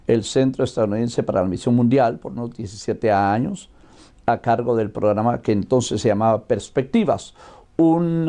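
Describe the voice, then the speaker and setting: Mellow older Guatemalan man teaching. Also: mid-range voice. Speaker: missionary teacher. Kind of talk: religious lecture.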